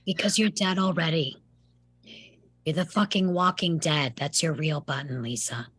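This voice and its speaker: Casting: actress as young female patient, low-pitched, defiant and hurt, dramatic table read. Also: moderate pace, fairly bright tone, slightly rough. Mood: cutting. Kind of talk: live table read of a film script.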